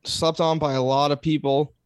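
A man co-hosting a sports podcast, his voice sitting somewhat high for a man. He tells the story of a game and teases his partner, recorded dry and close. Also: flat delivery, moderate pace, clear, resonant tone, dry recording. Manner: storytelling, teasing. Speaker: podcast co-host. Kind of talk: casual fantasy sports chat.

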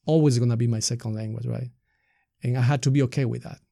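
Gravelly man with an Ecuadorian Spanish accent. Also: medium pitch, fairly even intonation, fast talker, mellow, no echo, clean studio sound. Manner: earnest, explanatory, uses rhetorical questions. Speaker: nonprofit staff member being interviewed. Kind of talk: reflective interview answers.

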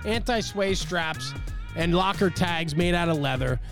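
Man, podcast host, slightly high voice, upbeat sing-song ad read, music underneath. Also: a little slow, creaky. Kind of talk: sponsor reads and interview.

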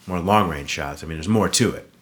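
Deep-voiced man with terse, low-key replies. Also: brisk. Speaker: podcast guest. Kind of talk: casual chat.

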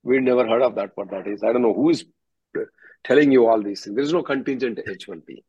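A husky-voiced man giving direct, instructive legal advice as the attorney hosting a question-and-answer call. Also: mid-range voice, fast, darkish tone, no echo. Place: conference call, close dry sound. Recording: dull, muffled conference-call audio.

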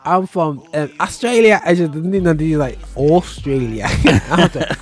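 A man's voice: on the high side, a little slow. A man hosting a casual talk show, laid-back, laughing often and using British slang. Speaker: show host. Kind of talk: casual podcast chat.

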